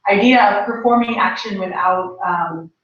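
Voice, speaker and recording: A woman speaking reflectively and informally on a panel, thoughtful and hesitant, with frequent pauses. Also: medium pitch, fairly bright tone. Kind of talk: reflective informal talk to an audience. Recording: echoey room, distant mic, dull sound.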